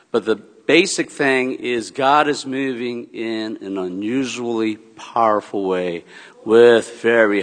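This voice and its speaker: A pastor giving church announcements and telling a story in a rambling, storytelling way, with many fillers and restarts. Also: male voice, mid-range voice, fairly bright tone. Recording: long hall reverb.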